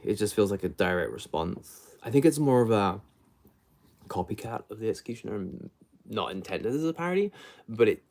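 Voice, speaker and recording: A man's voice: on the low side, darkish tone. A man chatting casually and hesitantly as he reviews books, often trailing off and rephrasing mid-thought. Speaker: book reviewer hosting a live video. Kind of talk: casual rambling chat to viewers.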